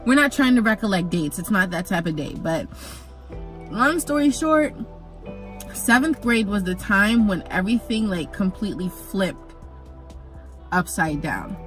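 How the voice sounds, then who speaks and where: A young woman who grew up in Jamaica, telling a personal story in a chatty, animated vlog with jokey asides. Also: medium pitch, unhurried, pauses often, faint music behind, poor audio. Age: young adult. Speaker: vlogger.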